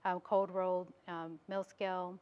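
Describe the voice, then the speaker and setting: Slightly dull audio carries an American woman with a lowish, very even voice. She explains her work casually, as a guest in a studio interview. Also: measured pace, clean tone. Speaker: interview guest, business owner.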